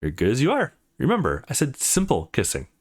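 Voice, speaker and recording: An easygoing young visual novel hero playing the bossy teacher, read aloud by a man. He is mock-stern, in a mid-range voice with very lively intonation. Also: mid-range voice, a little fast, slightly rough. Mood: instructive.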